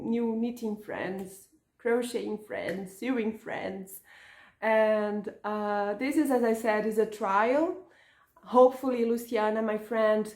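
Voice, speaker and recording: A Portuguese-accented woman whose first language is Portuguese talks slowly and casually about her knitting. She often stops and restarts words while reaching for the English. Her voice has a roomy echo around it. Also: mid-range voice, darkish tone. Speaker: knitting podcaster and yarn shop owner. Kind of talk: casual craft vlog chat.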